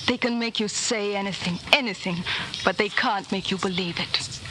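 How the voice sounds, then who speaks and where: A devoted young woman in a vintage radio play, voiced by an actress. She is tender yet steadfast, mid-pitched, with strong noise on the old recording. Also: normal speed, natural voice. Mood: tender, resolute. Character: loyal, tender, resolute young woman lover. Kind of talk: 1940s radio drama acting. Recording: noisy 1949 radio broadcast recording.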